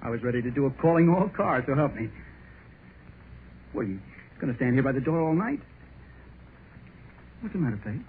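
A man's voice: medium pitch, big pitch swings, a little fast, pauses often, close to an everyday voice. Tinny old-radio young American husband, played by a man. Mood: eager, affectionate. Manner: gushing, slangy, rambling. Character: excited young American husband, eager, nervous, boyishly affectionate. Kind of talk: 1930s radio drama acting. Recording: scratchy, tinny 1930s radio recording.

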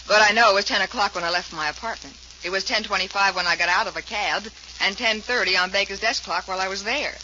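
A woman playing a self-assured, defensive young actress in a 1940s radio drama, answering in a crisp, assertive manner. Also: medium pitch, fast, hardly any pauses, sharp tone, close to an everyday voice. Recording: noisy, muffled 1940s radio recording.

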